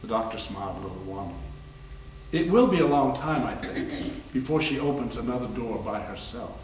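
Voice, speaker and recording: A man's voice: medium pitch, lively intonation, moderate pace. A man giving a dramatic readers theater reading of a story. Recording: echoey room, distant, faint noise.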